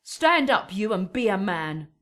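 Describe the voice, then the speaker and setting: An actress playing a loyal, fussing nurse in a Shakespearean radio drama, with emphatic, lamenting delivery. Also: female voice, medium pitch, sing-song, a little slow, a bit bright. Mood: distressed, scolding. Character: Juliet's Nurse, fussing, loyal, scolding woman servant.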